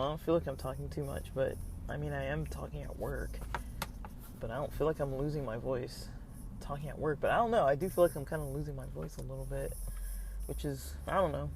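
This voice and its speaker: A man's voice: a little high, fairly even intonation, moderate pace, long pauses. A young man talking casually in a personal vlog, hesitant and rambling with many fillers, his throat slightly scratchy. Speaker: vlogger.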